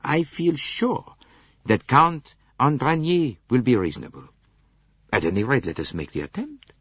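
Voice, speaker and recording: A courteous, self-assured Belgian detective in an audiobook, with a French accent. His speech is precise and lively in pitch, with a husky edge in a muffled recording. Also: measured pace, dry recording, full character voice. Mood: reassuring.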